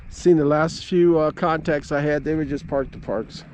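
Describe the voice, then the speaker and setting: Middle-aged man rambling over clear outdoor noise. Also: a little high, steady tone, a little mellow. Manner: halting, folksy, stop-and-start storytelling. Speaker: amateur radio operator vlogging. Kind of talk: casual rambling outdoor vlog. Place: outdoors at a lakeside park. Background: ambient outdoor noise.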